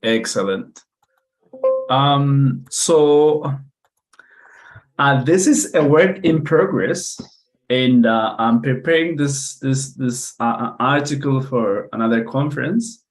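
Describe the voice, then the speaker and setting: A young man from Ethiopia presents academic research over a video call. He speaks unhurriedly, stopping often for fillers and restarting his sentences. His pitch sits in a middle range. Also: slow, rough recording. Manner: hesitant, many fillers and restarts. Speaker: conference speaker.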